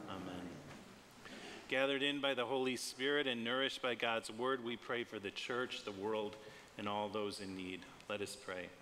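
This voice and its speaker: A middle-aged man, a pastor, reading prayers in a measured, solemn and deliberate way. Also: lowish voice. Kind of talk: read liturgy and intercessory prayer. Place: church sanctuary, standing at the altar. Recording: long church reverb, clear background noise.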